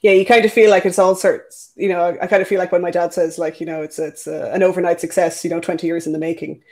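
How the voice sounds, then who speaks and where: A host asking questions in a casual interview, pausing often with "uh" and "you know". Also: medium pitch, flat delivery, a little mellow. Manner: hesitant, many "uh" and "you know" fillers.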